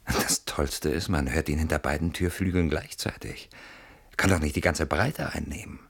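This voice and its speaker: Anxious guy barricaded at home, deep husky voice, brooding n suspicious, radio play style. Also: normal speed, fairly bright tone, heavily acted. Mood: hushed, fascinated dread. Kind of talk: German radio play acting.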